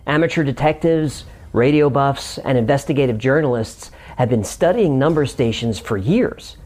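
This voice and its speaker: A man's voice: medium pitch, moderate pace, a little mellow. A man hosting a scripted explainer, narrating it in a conversational way with room for comic banter. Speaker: host.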